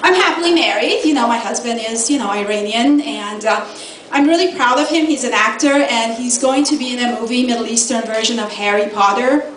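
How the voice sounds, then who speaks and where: A woman's voice: a little high, brisk, fairly bright tone. A middle-aged woman from Iran doing a stand-up comedy set, sassy and laughing at her own jokes. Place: comedy club stage. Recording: echoey club from far off. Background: audience laughter.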